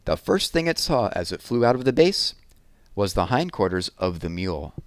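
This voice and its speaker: A man's voice: on the low side, very animated, normal speed, no room sound. A man narrating a children's picture book as a read-aloud. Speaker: storybook reader.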